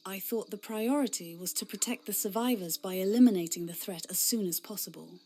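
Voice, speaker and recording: A zealous young female inquisitor, played by a woman, earnest and formal in every line. Her tone is mellow and soft-edged rather than bright. It sounds like game cutscene voice acting. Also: medium pitch, brisk, natural voice. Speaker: narrator and game character.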